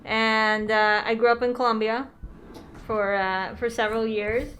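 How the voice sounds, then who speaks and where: A woman's voice: a little high, fairly even intonation, unhurried, a bit bright, slight background noise. A woman chocolate maker being interviewed on a podcast, easygoing and polite, with dry humor. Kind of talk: casual interview chat.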